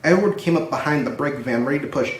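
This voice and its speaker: Higher-voiced man reading in an echoey room. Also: quick pace. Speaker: storybook reader. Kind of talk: scripted children's story narration. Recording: roomy echo, distant microphone.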